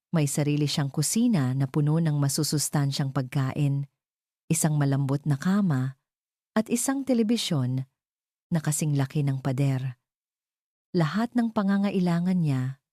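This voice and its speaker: A woman's voice: low-pitched, mellow, no echo, clean studio sound. A woman with a Filipino accent reading a scripted, dramatic story as its narrator.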